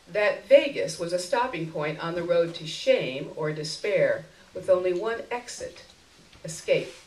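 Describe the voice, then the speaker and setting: A woman narrates a short story in a live reading. Her mid-pitched voice moves expressively, with wry, dramatic phrasing. The room echoes and she sounds somewhat far from the microphone. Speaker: story reader. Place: indoor live reading event. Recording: echoey room, miked from a distance.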